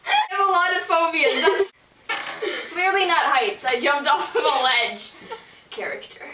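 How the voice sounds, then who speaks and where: A woman's voice: very high voice, lively intonation, a little slow. A giggly woman chatting casually and playfully. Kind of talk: casual chat. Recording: roomy, distant mic, faint noise.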